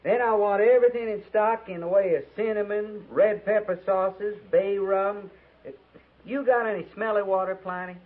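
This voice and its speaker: A man's voice: sits high, fairly even intonation, moderate pace, natural voice. A man playing a blunt, folksy army sergeant in a 1950s radio drama, brusque and impatient. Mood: brusque, demanding. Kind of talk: 1950s radio character acting. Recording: tinny, narrow-band old radio recording.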